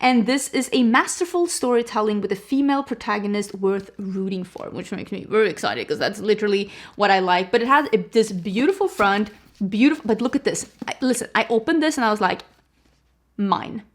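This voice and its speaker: A chatty woman from Sweden, whose first language is Swedish, talks casually about her books as a vlogger. She sounds animated and sprinkles her sentences with "like." Her voice sits at a middle pitch for a woman. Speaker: book vlogger. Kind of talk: casual chat.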